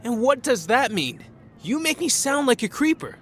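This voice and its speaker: A man playing a defensive high school football player in an audio drama, sarcastic and easily indignant. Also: sing-song, moderate pace, a bit bright, a little hiss or hum, heavily acted.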